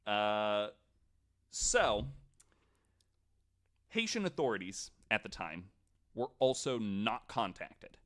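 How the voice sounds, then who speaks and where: Low-voiced man, casual podcast presenter. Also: sing-song, slow pace, pauses often, a bit bright. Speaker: podcast panelist presenting a researched story. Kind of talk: casual podcast storytelling from notes.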